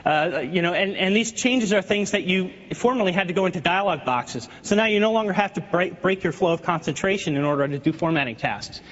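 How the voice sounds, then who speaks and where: A man giving a live software demo on a keynote stage, eager and restarting words as he goes. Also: sits high, fairly quick, few pauses. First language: not French. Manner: eager, repeats words while demoing. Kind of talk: live product demo presentation. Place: large conference hall. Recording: lo-fi hall recording with crowd.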